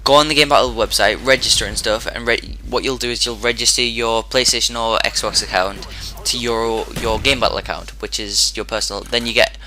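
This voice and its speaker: A man with a hoarse, sore-throated voice giving casual commentary over his own gameplay, rambling and often restarting his sentences. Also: medium pitch, steady tone, brisk, hardly any pauses, bright, forceful tone, a little hiss or hum. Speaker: gaming commentator.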